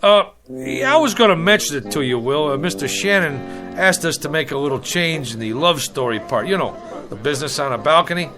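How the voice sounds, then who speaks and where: Actor as high-pitched, slangy, anxious hustler. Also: male voice, lively intonation, fairly quick, close to an everyday voice. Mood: nervous, ingratiating. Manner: slangy, wheedling, street-wise grammar. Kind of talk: comic TV drama acting. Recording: music under the dialogue.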